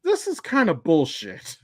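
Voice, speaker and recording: A man's voice: on the high side, wildly swooping pitch, slow pace, breathy edge, no echo, studio quality. A man co-hosting a podcast with casual, emphatic commentary on shows and films, explaining at length and swearing freely. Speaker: podcast co-host.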